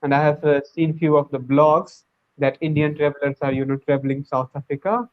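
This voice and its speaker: A man's voice: a little high, fairly even intonation, a little fast. A man practicing English on a video call, searching for words with many fillers and restarts. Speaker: English learner. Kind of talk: casual video-call conversation. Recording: tinny phone-like line.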